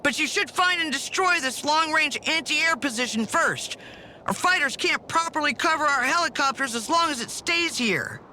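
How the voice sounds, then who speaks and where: A woman playing a brisk, sardonic army officer in a video game, with crisp, dryly sarcastic military delivery. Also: high voice, animated, pushed and bright, a little hiss or hum, exaggerated voice. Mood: brisk, alert. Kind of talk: scripted video game mission dialogue. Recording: music behind the voice.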